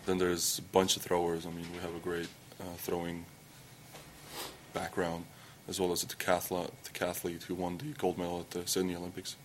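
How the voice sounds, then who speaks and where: A young man from Estonia with an Estonian accent, answering press questions in halting English full of fillers and restarts. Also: monotone, a little hiss or hum. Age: young adult. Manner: halting, many fillers and restarts, low-key. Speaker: athlete at a press conference.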